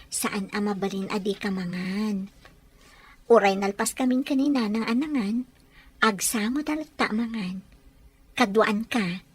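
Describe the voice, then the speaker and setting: A woman's voice: mid-range voice, breathy edge. A woman with an Ilocano accent playing an affectionate young bride-to-be, who fusses sweetly and talks playfully. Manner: sweet, fussing, quick and playful.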